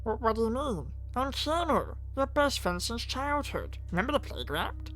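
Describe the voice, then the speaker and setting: A man voicing an anxious, devoted teenage girl, earnest and halting, in a visual novel read-aloud. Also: very high-pitched, sing-song, a bit bright, soft background music, pitched above the performer's own voice, heavily acted. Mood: uneasy, reassuring.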